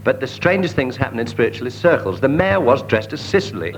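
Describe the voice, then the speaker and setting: A man's voice: mid-range voice, sing-song, fast talker, highs cut off, soft background music. A man improvising a comic story as a panellist on a radio comedy show.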